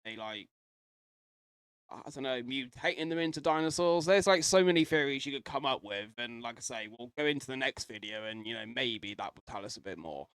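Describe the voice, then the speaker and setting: A man's voice: medium pitch, animated, fairly quick, fairly bright tone, smooth, no echo. Casual man reacting, dry close sound. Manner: speculative, rambling, mildly sweary. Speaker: reaction video host.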